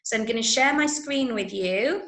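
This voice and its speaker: A woman's voice: fairly high pitch, normal speed, a bit bright. A woman with an English accent teaching an interactive online lesson for children, emphatic and often checking that listeners follow. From Manchester, England. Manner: emphatic, checks understanding with frequent tag questions. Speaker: drama workshop teacher. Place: indoor room, online video call. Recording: echoey room on a video call.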